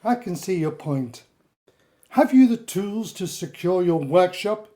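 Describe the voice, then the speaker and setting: A woman playing a stern, condescending authority figure in a radio drama, measured, formal and dismissive. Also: fairly low pitch, expressive, warm tone. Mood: cold, commanding. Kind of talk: audio drama acting.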